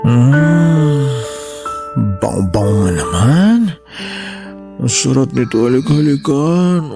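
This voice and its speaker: A man playing a drunk, manipulative young male cousin in a radio drama, hushing, threatening and making excuses. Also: mid-range voice, animated, takes it slowly, creaky. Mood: leering. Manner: hushed, threatening, self-excusing. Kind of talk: Filipino radio drama acting. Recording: voice under loud music.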